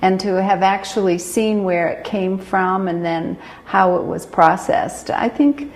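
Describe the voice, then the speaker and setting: A woman's voice: mid-range voice, fairly even intonation, unhurried. An American woman talking informally in an interview about her work. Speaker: farm owner. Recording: background noise and ambience.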